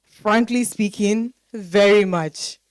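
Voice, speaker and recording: A woman's voice: mid-range voice, takes it slowly, natural voice. A Ghanaian woman acting the part of an educated, coy but frank woman being courted, in a dramatized stage reading.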